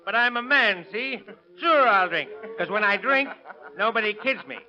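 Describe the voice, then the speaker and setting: A man's voice: sits high, lively intonation, normal speed, bright, forceful tone, heavily acted. A man acting a timid, easily riled little man in an old radio drama, in a dull vintage recording. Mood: drunk, defiant. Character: timid henpecked little man, boastful and defiant when drunk.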